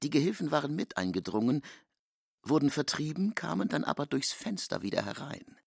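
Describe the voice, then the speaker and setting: Gravelly, husky male narrator. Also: on the high side, sing-song, brisk, dry recording, clean studio sound. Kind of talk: literary narration for audio drama.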